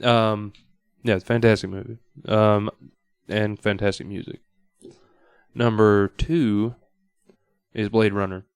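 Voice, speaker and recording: A man speaking casually as a guest on a podcast, halting now and then as he thinks aloud. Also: on the low side, flat delivery, smooth, no room sound. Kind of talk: casual chat. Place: podcast studio.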